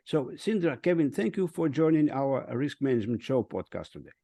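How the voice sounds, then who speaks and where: Bright-voiced man hosting a podcast. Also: mid-range voice, big pitch swings, brisk, no room sound. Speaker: podcast host. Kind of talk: hosted podcast interview.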